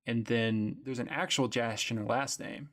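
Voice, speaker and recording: A man's voice: medium pitch, steady tone, moderate pace, a bit bright. Male podcast host, easygoing and wry, conversational. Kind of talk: casual chat.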